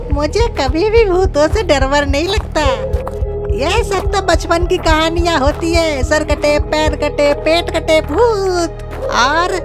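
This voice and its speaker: A woman voicing a naive, boastful young village man in a cartoon horror story, with earnest delivery. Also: high-pitched. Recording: loud music behind the voice. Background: loud music.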